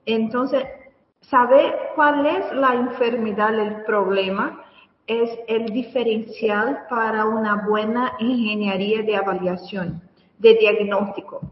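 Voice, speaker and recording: Brazilian woman, native Portuguese speaker, hesitant guest voice, slightly high, roomy far-off audio. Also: fairly even intonation, lo-fi sound. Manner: halting, searching for words. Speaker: guest engineer. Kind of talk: radio interview answers.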